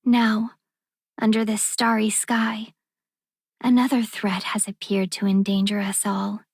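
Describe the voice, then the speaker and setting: A woman voicing a formal, enigmatic, probing female video game character with deliberate delivery. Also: mid-range voice, completely flat delivery, vocal fry, breathy edge, dry recording, studio quality. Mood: probing, solemn.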